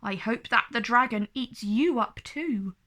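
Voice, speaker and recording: A dramatic young storybook princess, played by a woman reading aloud. The voice is high, prim and plaintive, with a firm streak under the damsel act. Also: unhurried, bright, forceful tone. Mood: indignant. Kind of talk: children's storybook character voice.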